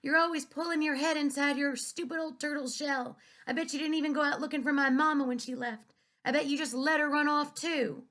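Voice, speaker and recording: A woman voicing a stubborn young girl who pleads and shouts through tears in an audiobook reading. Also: sits high, fairly even intonation, a little fast, voice pushed up. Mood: angry.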